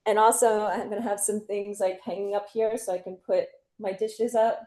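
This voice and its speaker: A woman from New Jersey giving a casual home tour and interview, chatty and halting, laughing easily. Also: mid-range voice, steady tone, warm tone. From New Jersey, USA. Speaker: interview guest and expat homeowner.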